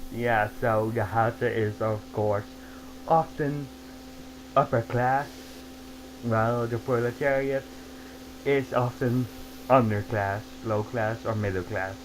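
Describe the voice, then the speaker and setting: Slow-talking man over faint hum. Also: medium pitch, fairly even intonation, low-quality recording. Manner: explains slowly, halting restarts. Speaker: host. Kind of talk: casual online chat. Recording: faint mains hum behind.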